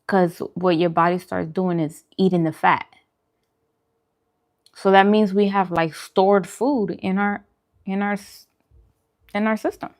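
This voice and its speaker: A woman with a medium-pitched voice talks casually as she eats, in the manner of a food vlogger. Her delivery is informal and musing, like relaxed conversation with whoever is watching. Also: a little slow, pauses often. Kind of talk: casual mukbang chat while eating.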